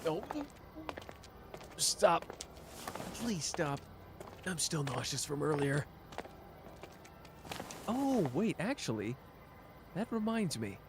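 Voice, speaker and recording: A man's voice: fairly high pitch, sing-song, slow pace, pauses often, background noise. A man voicing a smug, playful young schemer who teases and drawls in an audio drama. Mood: teasing. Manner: teasing, sly, drawling. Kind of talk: ASMR roleplay audio drama.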